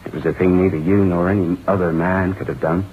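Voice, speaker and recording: An actor playing a matter-of-fact police sergeant in an old-time radio drama, speaking in a measured, soothing way. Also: male voice, low voice, fairly even intonation, breathy edge. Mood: calm, sober. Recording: tinny old radio recording, faint noise.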